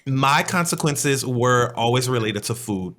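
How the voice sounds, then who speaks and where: A man co-hosting a teacher podcast over a video call, talking loudly and animatedly into a desk mic with frequent laughs. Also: mid-range voice, a little slow. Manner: loud, animated, laughs often. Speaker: podcast co-host, former teacher. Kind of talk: casual video-call chat. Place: home room with lamp and plants.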